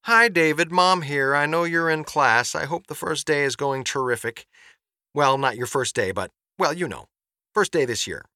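A man voicing an excitable, chatty, flustered middle-aged mother in an audiobook, gushing and rambling through phone messages. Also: on the high side, moderate pace, dry recording, studio quality, pitched above the performer's own voice. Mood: giddy, excited. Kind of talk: audiobook character voice.

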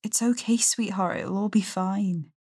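A man voicing a calm mother on the run. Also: on the high side, normal speed, breathy edge, voice pushed up. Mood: soothing. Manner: calm, reassuring, covering worry. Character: composed mother on the run, quietly urgent. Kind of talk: audiobook narration.

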